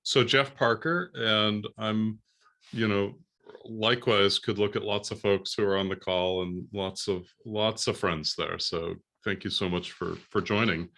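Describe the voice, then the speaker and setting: A man with a very low voice that still sounds bright and forceful. He is a professor on a video call panel and talks in a friendly, lively way. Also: a little slow.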